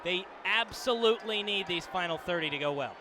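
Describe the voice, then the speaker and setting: Male sports radio analyst, high register, live commentary, noisy outdoor broadcast background. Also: expressive, fairly quick, a crowd in the background. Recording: noisy outdoor stadium ambience.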